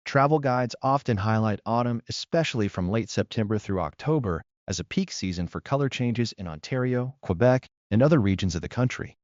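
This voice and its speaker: A man's voice: mid-range voice, expressive, fairly quick, few pauses, darkish tone, dry recording. A man reading scripted practice passages aloud. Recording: muffled lo-fi audio.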